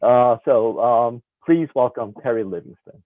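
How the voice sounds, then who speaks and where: A man moderating a community conversation and introducing speakers, halting and a little jokey. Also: medium pitch, no echo, narrow, tinny audio. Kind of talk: hosting a community discussion, introductions.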